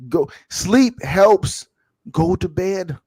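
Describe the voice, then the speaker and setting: A man with a high voice and lively, swooping intonation banters casually as a livestream co-host. He is emphatic and teasing, often repeating a word to drive it home. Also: extremely slow, husky. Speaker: co-host of a fan debate livestream.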